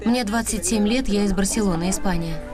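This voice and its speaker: A woman reading a Russian voice-over translation of people introducing themselves, in a clear, plain way. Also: medium pitch, a little fast. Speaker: voice-over interpreter. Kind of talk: dubbed self-introductions, read evenly. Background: background music.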